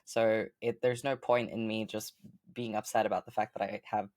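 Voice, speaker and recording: A woman with a fairly deep voice, a podcast guest chatting casually and upbeat, with lots of ums and likes. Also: little pitch movement, normal speed, a little mellow, no echo, studio quality. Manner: chatty, upbeat, many fillers like um and like. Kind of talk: casual interview chat.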